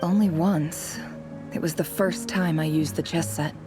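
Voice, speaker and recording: A woman voice actor playing a haughty, teasing, self-assured boss in a video game, speaking in a measured, condescending and wry way. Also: low voice, steady tone, music in the background. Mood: brooding.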